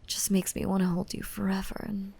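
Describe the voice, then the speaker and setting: A woman voicing a gentle, motherly young mermaid in an intimate, softly whispered ASMR roleplay, soothing and tender with pet names. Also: mid-range voice, flat delivery, fast talker. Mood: tender, playful. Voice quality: soft, breathy, often whispering. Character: gentle, motherly five-hundred-year-old mermaid, still young, softly dominant.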